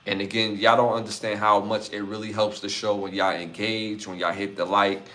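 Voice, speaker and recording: A man in his forties hosting a live podcast, talking in an easygoing, casual way. His voice sits a little low, and he speaks loosely as he thanks viewers one by one. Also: flat delivery, moderate pace. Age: 40s. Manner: laid-back, shouting out viewers by name. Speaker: podcast host. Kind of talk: casual livestream sign-off chat.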